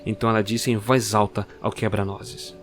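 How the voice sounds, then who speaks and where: A man narrating a dark story in a measured, dramatic way. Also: on the low side, little pitch movement, faint music behind. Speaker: narrator and producer.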